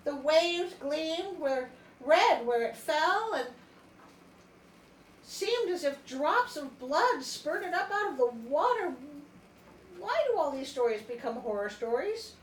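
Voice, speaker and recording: A woman hosting a comedic storybook reading, theatrical and wry, with expressive asides. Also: sits high, slow pace. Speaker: storyteller, show host. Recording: roomy echo, faint background noise.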